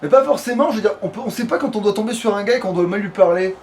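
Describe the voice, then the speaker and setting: Male gamer, high voice, crude slangy chat, noisy recording. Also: expressive, rapid-fire, a little mellow. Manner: crude, slangy, swearing freely. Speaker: let's play gamer. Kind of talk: casual gaming commentary. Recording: clearly noisy home recording.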